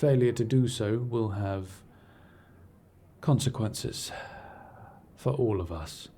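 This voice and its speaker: A man playing a cool, imperious scholar-agent in a fantasy audio roleplay, speaking in a formal, measured, dryly condescending way. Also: fairly low pitch, mellow, a little hiss or hum. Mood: cool, commanding. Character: cool, imperious male scholar-agent serving an arcane archivist patron. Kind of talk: scripted audio roleplay acting, addressing the listener.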